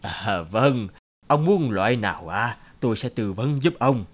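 A man playing a polite, helpful pottery seller in an animated folk tale, hesitant and deferential. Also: medium pitch, measured pace, soft background music. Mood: courteous.

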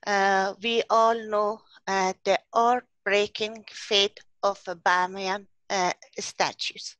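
A woman's voice: mid-range voice, little pitch movement, slow pace, fairly bright tone, no echo. A middle-aged woman from Afghanistan, a writer and poet, speaking in a video-call interview through a headset mic with many hesitant fillers.

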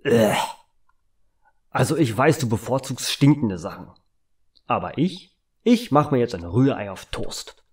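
A man's voice: mid-range voice, very animated, measured pace, long pauses, slightly rough. A man playing a good-natured, polite car salesman who gets easily flustered in an audio drama. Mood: disgusted, chatty. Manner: polite, stammering when flustered.

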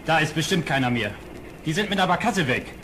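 A man acts an alert dock worker in an old radio play. He speaks in a rather high voice with blunt, brief replies, over clear background noise. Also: lively intonation, brisk, lightly acted. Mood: insistent. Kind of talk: German radio play acting.

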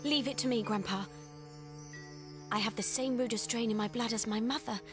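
A woman's voice: fairly high pitch, normal speed, music in the background, close to an everyday voice. A woman playing an earnest, determined teenage schoolgirl heroine in a video game cutscene. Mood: earnest resolve.

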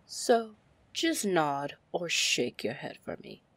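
A seductive female pirate lord, acted by a woman, speaks slowly and rather low, close and dry. She is commanding yet teasing, slipping toward breathy near-whispers in an ASMR fantasy roleplay. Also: very animated, a bit bright, dry recording, exaggerated voice. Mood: teasing, menacing. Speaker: roleplay audio performer.

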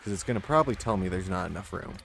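Guy playing a game and talking to himself, voice kinda deep, going hoarse, yells when stuff goes wrong. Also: expressive, very fast, slight background noise. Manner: mutters to himself, with sudden loud frustrated outbursts. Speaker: gaming channel host. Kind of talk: casual gameplay commentary.

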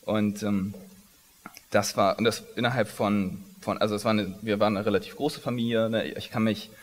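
A man's voice: on the low side, fairly even intonation, moderate pace. A man in his thirties, born in Iran, speaking on stage in an interview, often stopping and restarting his sentences. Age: 30s. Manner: hesitant, many restarts and fillers. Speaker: company founder, interviewee. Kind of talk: onstage conversational interview. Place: large conference hall stage. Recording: long reverb of a big hall.

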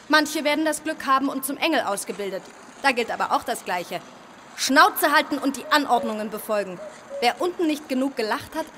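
A woman's voice: on the high side, fairly bright tone, a little hiss or hum. A woman acting a stern heavenly announcer who instructs the dead in a radio play, matter-of-fact and commanding.

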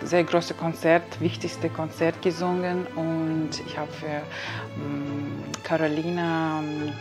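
A woman's voice: low-pitched, flat delivery, slow pace, over music. A woman, answering interview questions in a hesitant way, searching for words, on a lapel mic in an ornate room. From Kazakhstan. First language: Kazakh. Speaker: opera singer, guest. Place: ornate indoor reception room.

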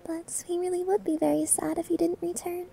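A woman's voice: very high voice, flat delivery, fairly quick, creaky, dark tone, soft background music. A woman playing a sweet, bubbly, clumsy young maid in an ASMR roleplay, with a cutesy, teasing, eager manner. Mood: sweet, pleading.

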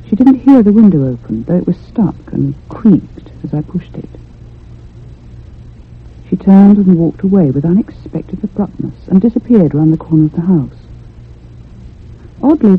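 An actress playing an anxious, curious woman in a radio drama, speaking in a measured, literary and expressive way. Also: fairly low pitch, breathy edge, exaggerated voice. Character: anxious, curious convalescent unmarried woman narrating a haunting. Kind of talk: radio drama acting and narration. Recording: muffled, phone-like old radio sound.

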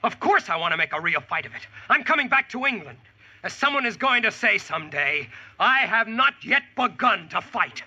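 An actor in a 1940s radio drama playing a weary, resolute Yankee leader who also narrates. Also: male voice, high-pitched, very animated, normal speed, bright, forceful tone, slight background noise, full character voice. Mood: defiant. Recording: dull, band-limited vintage radio recording.

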